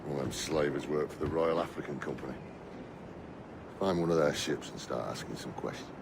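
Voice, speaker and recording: An actor playing a gruff, foul-mouthed, bitter pirate captain in a video game, blunt and coarse. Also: male voice, extremely low pitch, fairly quick, noisy background. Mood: brusque. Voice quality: gravelly. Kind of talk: video game character acting.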